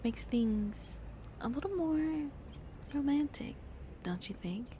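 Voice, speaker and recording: Soft, whispery, flirty vampire woman played by an actress. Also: high voice, animated, takes it slowly, a little hiss or hum. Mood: romantic, teasing. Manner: soft, intimate, often dropping into breathy whispers. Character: flirty, shy but playful female vampire on a date. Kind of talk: ASMR roleplay.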